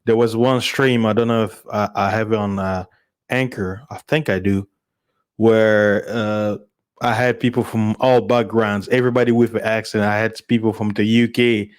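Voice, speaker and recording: Low-voiced man. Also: steady tone, moderate pace, clear, resonant tone. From Congo. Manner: informal, chuckles often. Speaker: live streamer and podcast host. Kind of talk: casual chat to listeners.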